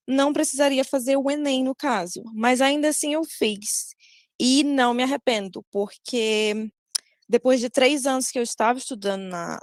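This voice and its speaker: A young woman from Brazil with a Brazilian Portuguese accent, her voice sitting a little high. She speaks casually over a video call, pausing often and correcting herself as she goes. The sound is dry and close, with no room echo. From Brazil, Maranhão. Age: young adult. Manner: hesitant, many fillers and self-corrections. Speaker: guest speaker giving advice to students.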